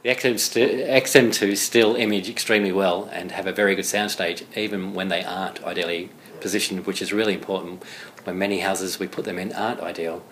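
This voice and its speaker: Male dealer testimonial, very deep, halting and unscripted, faint noise behind. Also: steady tone, fairly bright tone. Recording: clear background ambient noise.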